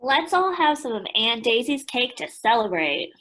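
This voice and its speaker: A woman's voice: on the high side, sing-song, moderate pace, fairly bright tone, low-quality recording. An actress playing a sentimental woman in a radio play. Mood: cheerful. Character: woman recalling a cherished Christmas memory. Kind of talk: radio play narration and dialogue.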